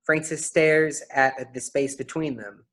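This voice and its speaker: A stage directions reader at a staged play reading. The voice sits at a medium pitch and delivers scripted narration of the characters' movements. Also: steady tone, unhurried, a bit bright.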